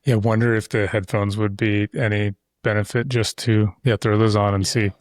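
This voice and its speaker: Low-voiced man chatting as podcast host. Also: flat delivery, moderate pace, no echo, studio quality. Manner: talkative, explains at length with loose asides. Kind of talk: casual conversation. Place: insulated shop-building studio. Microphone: close studio mic.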